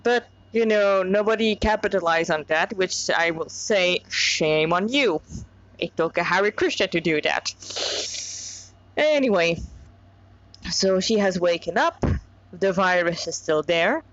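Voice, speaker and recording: Slow-paced woman, dull lo-fi recording. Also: fairly low pitch, a bit bright, dry recording. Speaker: fan fiction author and reader. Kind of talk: casual chat and informal story reading.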